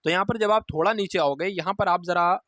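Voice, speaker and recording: Male, slightly high voice, exam coach, instructive, repeats key points, close dry recording. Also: a little fast, fairly bright tone, no echo. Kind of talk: informal explanatory exam update to students.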